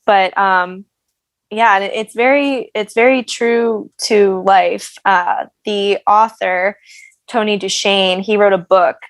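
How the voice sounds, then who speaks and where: An American woman telling stories in a casual interview, animated and self-deprecating in her humour. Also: mid-range voice, slow, dry recording. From United States. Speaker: guest, actress and singer.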